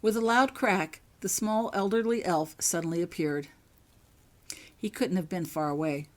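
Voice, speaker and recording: A woman narrates fiction from a script, reading her own writing. Her voice sits a little low for a woman as she tells the story. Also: normal speed.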